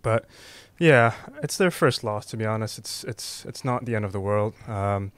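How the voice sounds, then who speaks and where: A man giving football opinions as a show guest, relaxed and thinking aloud with frequent ums. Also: fairly low pitch, moderate pace, clear, resonant tone. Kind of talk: casual football chat.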